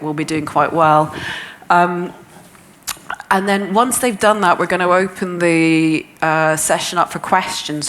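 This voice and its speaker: A middle-aged woman moderating a conference panel, her voice sitting a little low. She talks in a chatty, self-deprecating way with easy fillers. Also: a bit bright, slight background noise. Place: conference breakout room.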